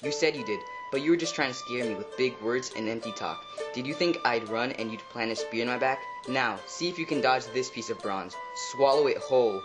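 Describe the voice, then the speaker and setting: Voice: medium pitch, a little fast, hardly any pauses, over music, close to an everyday voice, low-quality recording. A performer playing a proud, doomed Trojan warrior prince in a mythological puppet show. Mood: defiant.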